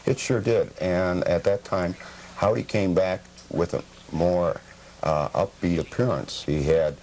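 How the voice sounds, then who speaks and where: A middle-aged man with a very deep voice gives interview answers. He is measured and slightly formal, stating things plainly, on an old cable TV tape with faint noise. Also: steady tone, a little mellow, low-quality recording. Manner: measured, formal, matter-of-fact. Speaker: interview guest, memorabilia collector. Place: television program set.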